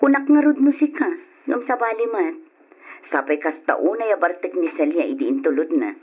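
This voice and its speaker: A woman's voice: lowish voice, lively intonation, normal speed, darkish tone, slightly rough, dull audio. An actress with an Ilocano accent playing a blunt, gossipy older boarding-house woman in an old radio drama. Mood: apologetic, frank.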